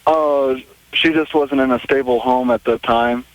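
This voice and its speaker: Man, twenties, cell phone caller, mid-range pitch, hesitant, conversational. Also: fairly even intonation, measured pace, no echo, muffled recording. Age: 20s. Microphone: cell phone call.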